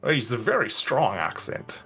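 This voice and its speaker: A man's voice: medium pitch, big pitch swings, normal speed, a bit bright, husky, faint music behind. A man roleplaying a ship captain in a tabletop game, talking in a rambling, hesitant way. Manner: rambling, hesitant, full of "I mean".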